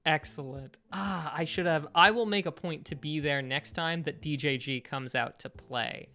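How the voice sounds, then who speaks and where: A man's voice: on the high side, moderate pace, sharp tone. A man hosting a gaming podcast, opening with showy, playful announcer flair and easy banter. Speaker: podcast host.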